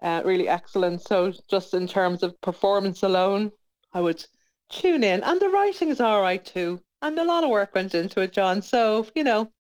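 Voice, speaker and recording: A woman chats as a guest in a radio interview. Her voice is clean and smooth, at a medium female pitch. She talks informally and often rewords what she is saying. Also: lively intonation, normal speed, a little mellow, low-quality recording. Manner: informal, chatty, self-correcting. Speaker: interview guest, playwright and producer.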